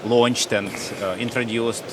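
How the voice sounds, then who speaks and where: A man's voice: mid-range voice, flat delivery, unhurried. A man with a Russian accent asks informal questions as a television interviewer. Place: trade show stand. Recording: noisy busy exhibition location, clear background noise.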